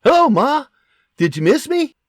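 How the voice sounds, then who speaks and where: A man's voice: very high-pitched, sing-song, normal speed, no room sound, studio quality, voice pushed up. A man voicing a cheerful, affectionate young soldier returning home, in an audiobook.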